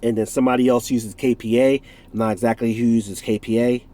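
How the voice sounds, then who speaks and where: A man's voice: mid-range voice, flat delivery, fairly quick, warm tone. A man in his forties from the United States with a stuffed-up nose from a cold, casually reviewing a product in a rambling, self-correcting way with jokey asides. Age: 40s.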